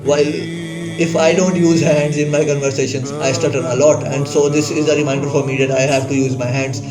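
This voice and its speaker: Young man, earnest casual advice, mic set back, nasheed vocals clearly under him. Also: fairly high pitch, level tone, brisk. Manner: earnest, repeats "bro" and "like", small restarts. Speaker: student giving self-help advice. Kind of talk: casual advice talk to camera. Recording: distant mic with clear background music.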